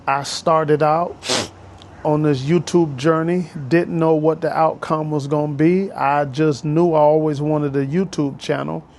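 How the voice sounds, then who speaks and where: Man, fifties, porch vlogger; gravelly, slightly high voice, preachy testimony. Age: 50s. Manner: preachy, repetitive, emphatic. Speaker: vlogger and school bus driver trainer. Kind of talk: casual testimony vlog. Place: on his porch outdoors.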